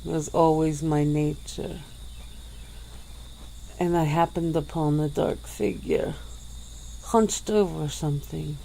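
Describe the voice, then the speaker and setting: A woman voicing a centuries-old female vampire who speaks slowly and softly, with breathy little laughs, in an ASMR roleplay. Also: low-pitched, fairly even intonation, slight background noise, exaggerated voice, rough recording. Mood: teasing, languid. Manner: slow, soft-spoken, with breathy little laughs.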